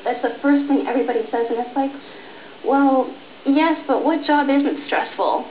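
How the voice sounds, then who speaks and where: A woman's voice: sits high, normal speed, a little hiss or hum. A young woman, a student, answering interview questions. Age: young adult. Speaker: air traffic control student. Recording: muffled phone-like roomy TV capture.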